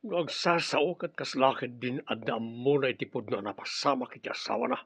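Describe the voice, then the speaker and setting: Villainous boss, gravelly, husky, Ilocano accent, curt and domineering, radio drama. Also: male voice, medium pitch, lively intonation, moderate pace, fairly bright tone, no room sound, exaggerated voice. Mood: sly, curt. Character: menacing, irritable, two-faced crime boss uncle.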